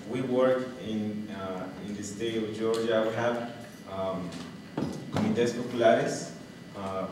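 Low-voiced man presenting in echoey room. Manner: halting, searching for words. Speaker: workshop presenter. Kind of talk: informal spoken presentation. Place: meeting room with brick walls, indoors. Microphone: distant camera microphone. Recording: distant, echoey, noisy room recording.

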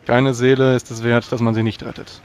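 A man speaking formally and deliberately as a proud, pious knight in a tabletop role-playing game. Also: mid-range voice, little pitch movement, a little mellow. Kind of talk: in-character role-play narration. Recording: clear background noise.

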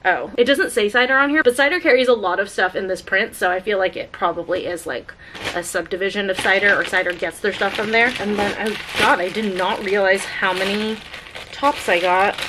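A woman's voice: medium pitch, a little fast, noisy background. A woman in her thirties, a vlogger, chatting casually about a clothing haul and her makeup, upbeat and thinking aloud with lots of "like"s, filmed at home on a camera mic. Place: bedroom at home, indoors.

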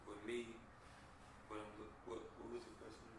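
A man in his twenties from Detroit, slightly raspy, talking in a relaxed way with lots of fillers. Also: fairly low pitch, normal speed. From Detroit, Michigan. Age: 20s. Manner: relaxed, fillers "you know what I'm saying". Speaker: blind date guest. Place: living room with couch. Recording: echoey room, camera far off, background noise.

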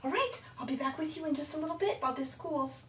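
A woman's voice: high voice, quick pace, a bit bright. A middle-aged woman hosting a homely, chatty recipe demonstration to camera in a grandmotherly storybook manner. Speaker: cooking show host in character as Mrs. Claus. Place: home kitchen, indoors. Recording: very echoey, distant mic, faint room noise.

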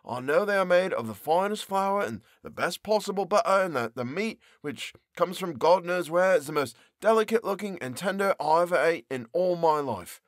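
Fed-up trapped young baker guy, a man doing the voice, pitched up and whiny, complaining nonstop, storybook reading. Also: lively intonation, normal speed, few pauses, no room sound, clean studio sound. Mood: grumbling. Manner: exasperated, complaining.

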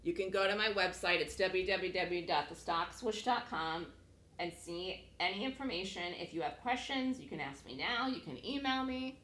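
A woman with a lowish but bright, forward voice runs a webinar from her home office. She sounds slightly hoarse, as if just getting over a cold. Her talk is chatty and repetitive, and she pitches her course with frequent "okay?" checks to her listeners. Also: normal speed, a little hiss or hum. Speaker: trading course instructor.

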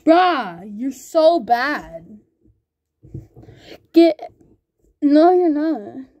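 A woman chatting excitedly while playing an online game with a friend, laughing often and calling everyone bro. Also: high-pitched, drawn-out words. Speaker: gamer recording gameplay. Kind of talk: excited gaming banter with a friend.

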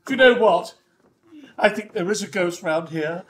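A woman's voice: lowish voice, normal speed, natural voice. A woman playing a frightened, whiny, childish daughter in a broad pantomime, pleading in an exaggerated way. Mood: spooked. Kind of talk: broad pantomime stage acting. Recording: live stage with loud music, crowd.

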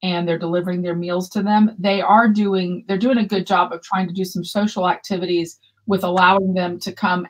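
A woman's voice: lowish voice, rough recording. A woman in her fifties co-hosting a yarn shop livestream over a video call, chatting and reading viewer comments aloud. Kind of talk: casual livestream chat. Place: room with shelves of yarn behind. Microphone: webcam video call.

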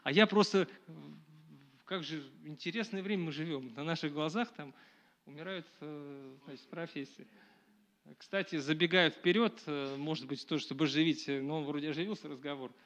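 A middle-aged man giving a public lecture to a live audience in a small dark room, talking conversationally with many fillers and restarts, recorded from a camera at a distance. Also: a little high, normal speed.

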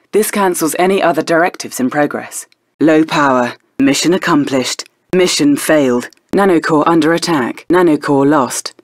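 An actress playing a composed female military intelligence officer, giving crisp, formal status announcements in a strategy video game. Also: low-pitched, dry recording, clean studio sound.

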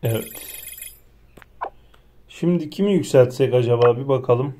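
A man's voice: mid-range voice, normal speed. A man giving casual, chatty gameplay commentary, thinking aloud to his viewers. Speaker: gaming video host. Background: loud game music behind the voice.

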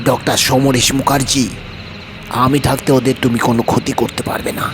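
Guy playing a calm doctor standing up to a ghost, firm n reassuring, normal pitch, loud music under it. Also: moderate pace, natural voice. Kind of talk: horror audio story acting and narration.

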